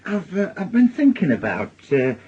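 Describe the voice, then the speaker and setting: A mild, uneasy Northern English family man in a radio drama. He feels his way through sentences tentatively, with a lively, mid-pitched voice. The sound is a little dull, with faint noise. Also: normal speed. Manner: hesitant, tentative. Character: hesitant, uneasy working-class family man, mild and conciliatory. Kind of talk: naturalistic radio drama acting.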